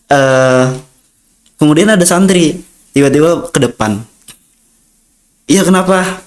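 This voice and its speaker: A man's voice: a little high, slow. Chatty young Indonesian man. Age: young adult. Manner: rambling with many fillers, acts out dialogue. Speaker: interview guest, student. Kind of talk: casual storytelling interview.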